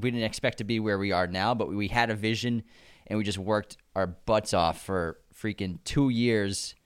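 A man's voice: lowish voice, smooth, no room sound, clean studio sound. A man with a nasal voice from the United States, chatting energetically as a guest on a podcast. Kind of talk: casual chat.

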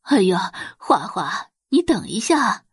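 A woman voicing a plump middle-aged village auntie in an audio drama, warm and fussing, with folksy drawn-out exclamations. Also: medium pitch, very animated, breathy edge, no room sound, studio quality, heavily acted.

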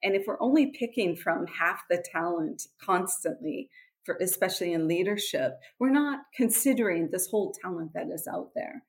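American-accented woman on a podcast, lowish voice, earnest and explaining things. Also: lively intonation, normal speed. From Switzerland and USA. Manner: earnest, explanatory, occasional laughs. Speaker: guest, nonprofit executive director. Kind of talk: podcast interview answers.

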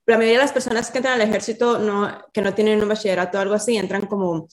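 A woman's voice: medium pitch, steady tone, racing, echoey room. A woman from Mexico in her thirties with a Mexican accent, speaking as an interview guest in casual talk, slipping English terms in among hesitant fillers. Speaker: army officer guest.

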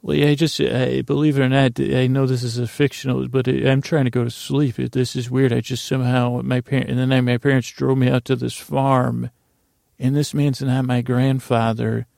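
Man playing a weary, creaky-voiced reluctant young man. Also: medium pitch, little pitch movement, normal speed, a little mellow, dry recording, studio quality, close to an everyday voice. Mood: weary, plaintive. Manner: hesitant, plaintive. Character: reluctant young man, tired, politely resentful farm helper.

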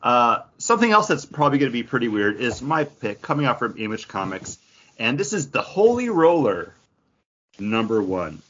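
A man's voice: mid-range voice, sing-song, normal speed, a bit bright, dull audio. A man co-hosting a comic book podcast in casual, conversational chat.

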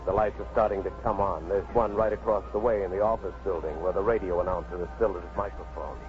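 A muffled vintage radio recording with clear music behind an actor as a male narrator. His voice is on the low side, solemn and full of suspense. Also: fairly even intonation, fast, lightly acted. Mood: suspenseful. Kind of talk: 1950s radio drama narration. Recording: muffled 1950s radio broadcast.

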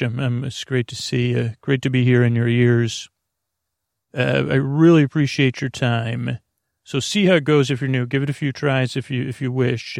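A man with a gravelly, creaky voice at a middling pitch talks in a dry, close recording. He rambles reassuringly to the listener as a bedtime podcast host, halting, trailing off and circling back. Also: darkish tone, dry recording. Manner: reassuring, low-pressure, addresses the listener directly. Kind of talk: rambling bedtime monologue to help listeners fall asleep.

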